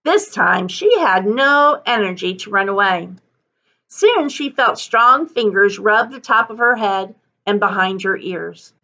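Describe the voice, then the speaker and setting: A woman reading a children's story aloud like a teacher, with deliberate, dramatic emphasis. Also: mid-range voice, animated, pushed and bright, dull audio. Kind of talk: expressive children's story narration.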